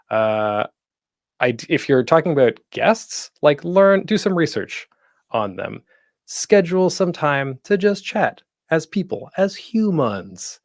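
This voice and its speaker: Animated man giving a casual how-to talk. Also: mid-range voice, dry recording, studio quality. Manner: informal, self-interrupting, tangential asides. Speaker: panel host and presenter. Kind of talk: casual instructional panel talk.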